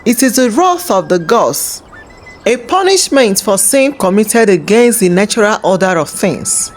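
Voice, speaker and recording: A man's voice: high-pitched, big pitch swings, unhurried, a little hiss or hum. A woman voicing a grave old male village healer in a folktale, solemn and resigned. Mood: grave, sorrowful. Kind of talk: folktale storytelling.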